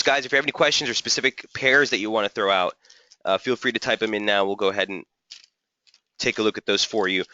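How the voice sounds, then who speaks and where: A man hosting a live trading webinar, slightly hoarse, walking through charts and answering viewers' typed questions. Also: on the low side, bright, forceful tone, lo-fi sound. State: slightly hoarse, losing his voice. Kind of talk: live market analysis webinar.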